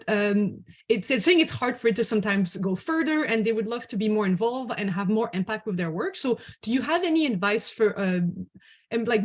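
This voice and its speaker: A woman moderating a panel discussion in spontaneous speech, phrasing wordy questions a little hesitantly. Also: a little high.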